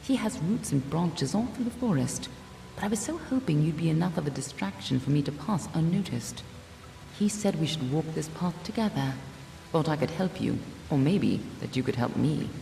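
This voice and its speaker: A woman's voice: lively intonation, moderate pace, warm tone, distant mic, exaggerated voice. An actress playing a world-weary, sardonic female fighter in a video game, with a dry and terse manner. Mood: dry, wry. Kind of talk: video game character dialogue. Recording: roomy echo, clear background rumble noise.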